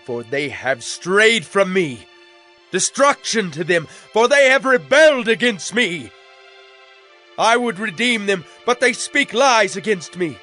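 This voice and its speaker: Wrathful God for dramatized scripture: fairly high male voice, grave and declamatory, background music. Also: a bit bright. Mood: anguished anger. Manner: solemn, declamatory, deliberate phrasing. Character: wronged, wrathful male God pronouncing judgment through prophecy. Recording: music bed under the voice.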